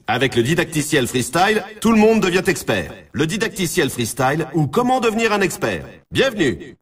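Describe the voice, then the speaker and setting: High-pitched, excitable sports announcer. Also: male voice, slightly rough, far from the mic. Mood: instructive. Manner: emphatic, showy, informal. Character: excitable male snowboard race announcer and tutorial coach. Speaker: game announcer. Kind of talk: scripted video game sports commentary.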